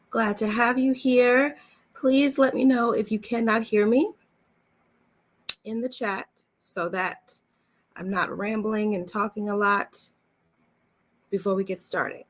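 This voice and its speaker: A woman's voice: a little high, a bit bright. A woman hosting an online webinar as a coach, chatting casually to viewers in a welcoming, reassuring way. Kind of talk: casual livestream chat to viewers.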